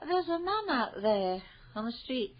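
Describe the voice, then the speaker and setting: High, lively homely mother. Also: measured pace. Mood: fussing, anxious. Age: middle-aged. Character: homely working-class wife and mother, teasing, practical, fussing. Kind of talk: old-fashioned radio drama acting. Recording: dull, faintly noisy radio drama recording.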